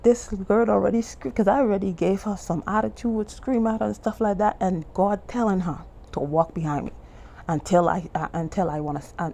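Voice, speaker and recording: Animated Bahamian woman telling a story. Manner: animated, acts out quoted lines in a much higher voice; restarts and trails off often. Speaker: testimony vlogger. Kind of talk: casual storytelling testimony.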